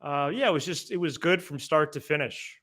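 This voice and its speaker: Bright, higher-voiced man talking sports. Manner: halting, repeats words as he talks. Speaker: sports podcast co-host. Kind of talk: casual sports chat.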